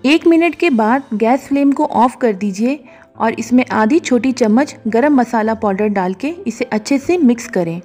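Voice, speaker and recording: Calm woman narrating a recipe. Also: a little high, normal speed. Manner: calm, instructive, mixes English words into Hindi. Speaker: cooking show host. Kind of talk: step-by-step recipe voice-over. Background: soft background music.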